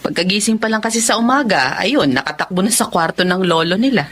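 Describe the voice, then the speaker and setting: An actress playing an understanding, supportive wife in a radio drama, calm and reassuring. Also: female voice, mid-range voice, quick pace, a little hiss or hum, natural voice.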